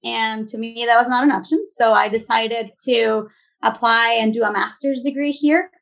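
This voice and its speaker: Creaky-voiced woman from Venezuela. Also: a little high, steady tone, moderate pace. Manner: warm storytelling, lots of "like" and restarts, laughs easily. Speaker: guest, business owner. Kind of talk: casual interview.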